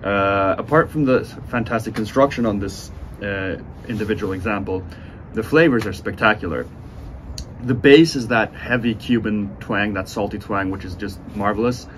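A man in his twenties giving a casual, unhurried review to camera, speaking with enthusiastic praise. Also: lowish voice. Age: 20s. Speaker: cigar reviewer, host. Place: outdoors on a sunny porch by windows. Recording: outdoor ambient noise.

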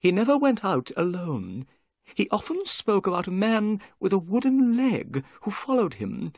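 Casting: a fretful, high-strung little gentleman for a storybook, very high pitch, wildly swooping delivery. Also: huge pitch swings, moderate pace, no room sound, studio quality, full character voice. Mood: earnest recounting. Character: nervous, excitable little bald middle-aged man, fretful and eager.

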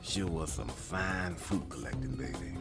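A man playing a smooth, vain, flirtatious big hippo in a video game, crooning and boasting suavely. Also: extremely low pitch, expressive, measured pace, loud music behind the voice, heavily acted. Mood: suave evasive. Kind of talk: video game character acting.

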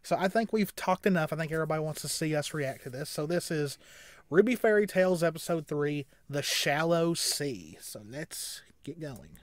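Guy in his 30s doing casual reaction talk, kinda high voice, rambly, close dry sound. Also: lively intonation, moderate pace, no echo. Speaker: reaction show co-host. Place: small indoor room at home.

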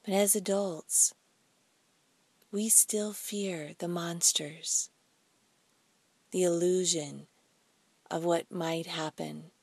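A woman leading a guided meditation in a soft, breathy, soothing voice, speaking deliberately in short phrases with pauses. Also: fairly low pitch, fairly even intonation, no echo. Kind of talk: slow guided meditation narration.

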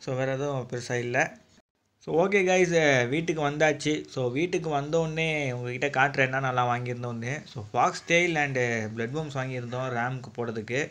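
A man's voice: medium pitch, fairly quick, a bit bright. A man giving casual, enthusiastic vlog commentary as a channel host, often addressing his viewers directly while filming by hand. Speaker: vlogger, aquarium channel host.

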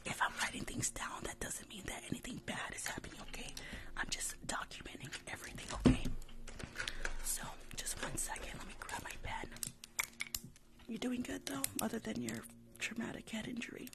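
A young woman performs a whispered ASMR roleplay as a doctor. Her voice is breathy and fairly high, with a lot of crackly fry. She is playful and chatty, often repeating words, and sits close to the microphone. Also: steady tone, fairly quick. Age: young adult. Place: small room at home. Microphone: large desk microphone, close.

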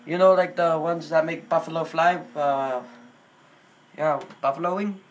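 A man's voice: on the high side, steady tone, normal speed, a bit bright, natural voice. A young man playing a polite, earnest, apologetic Indian caller who hesitates with many ums. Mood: earnest, hesitant. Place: small bedroom. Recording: noisy amateur phone-video recording.

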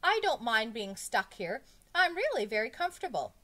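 A woman voicing a whiny, panicky young schoolboy from a children's storybook, exclaiming and pleading in drawn-out cries. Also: fairly low pitch, sing-song. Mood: distressed.

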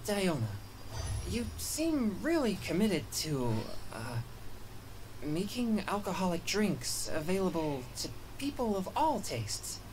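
A woman's voice: mid-range voice, sing-song, slow, a little mellow, a little hiss or hum, rough recording. A woman voicing an earnest teenage boy exorcist in a game, polite, hesitant and easily flustered. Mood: hesitant, puzzled.

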